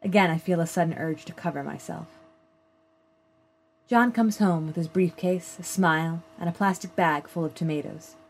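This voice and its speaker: A woman reading a first-person literary short story aloud in a measured, plain storytelling manner. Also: lowish voice. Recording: clear background noise.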